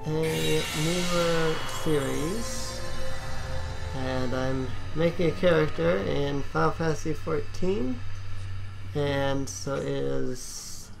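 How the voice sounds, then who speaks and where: Low, creaky-voiced woman, unhurried. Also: low-quality recording. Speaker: host of a gaming series. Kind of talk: casual gameplay commentary. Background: game music.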